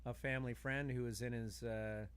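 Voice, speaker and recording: Male podcast guest, average pitch, relaxed conversational phrasing, earnest, tends to list things mid-thought. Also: moderate pace, clean tone. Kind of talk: casual chat.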